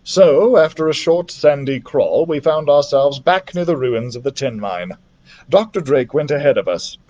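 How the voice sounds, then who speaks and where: A man reading a children's adventure novel aloud as a story-time host, with an animated, theatrical delivery. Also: a little high, moderate pace, lo-fi sound.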